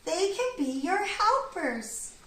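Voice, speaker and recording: A woman voicing a tiny female bird in a children's story, with a squeaky, chirpy, excitable voice. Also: slow pace, full character voice. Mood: helpful. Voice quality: squeaky little voice. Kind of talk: children's storybook read-aloud. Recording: roomy, distant, faint background noise.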